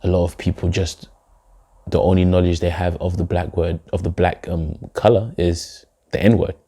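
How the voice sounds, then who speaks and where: A young man from Denmark, a poet, giving reflective, measured interview answers. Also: deep voice, little pitch movement, mellow, slightly rough. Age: young adult. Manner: reflective, measured, with filler phrases. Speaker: poet and guest. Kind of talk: spoken word poem, then interview answers.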